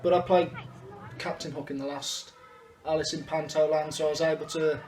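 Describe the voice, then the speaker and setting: A man's voice: a little high, fairly even intonation, normal speed, a little mellow. A young man chatting casually in an interview about performing. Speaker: amateur pantomime performer. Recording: echoey room with music behind.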